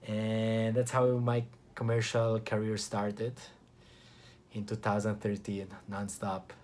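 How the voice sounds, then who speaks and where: A young man with an Italian accent talks casually to a camera in a small indoor room. His voice sits a little low, and a faint hum of room ambience hangs behind him. He speaks in an easygoing, chatty way, restarting sentences and pausing briefly. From Italy. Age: young adult. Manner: relaxed, chatty, with frequent restarts and short pauses. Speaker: vlogger.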